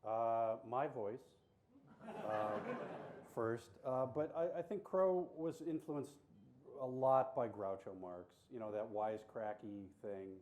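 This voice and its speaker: A man's voice: mid-range voice, takes it slowly, a little mellow, slight background noise. Nasally, whiny-voiced man on a panel. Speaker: puppeteer and voice actor, panel guest. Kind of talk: panel discussion.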